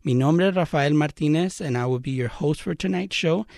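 Host role: man with a gravelly, slightly high voice, formal and measured, dry studio sound. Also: a little mellow, no room sound. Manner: measured, formal, bilingual welcome. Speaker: radio show host. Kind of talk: formal radio introduction.

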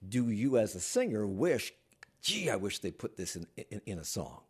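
A man's voice: lowish voice, big pitch swings, normal speed, slightly rough. An older man hosting a radio talk show, from Washington, D.C., asking long winding questions with plenty of fillers. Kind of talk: radio interview.

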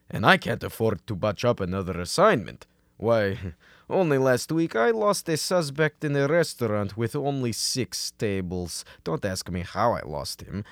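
An actor playing a hapless, soft-hearted Soviet security man, naive and reluctant, with formal, earnest phrasing in a radio comedy. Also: on the low side, no room sound, clean studio sound.

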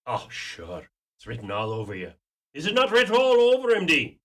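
A man playing a glib, flattering small-town schemer in a radio play, with an Irish accent. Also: a little high, brisk, full character voice.

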